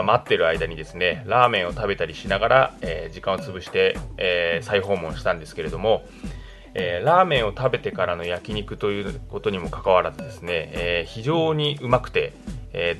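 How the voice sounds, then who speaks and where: A low-voiced man delivers a radio food segment over faint background music. He speaks politely, like a presenter working from notes, with small filled pauses between phrases. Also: fairly bright tone. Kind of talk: semi-scripted radio food report.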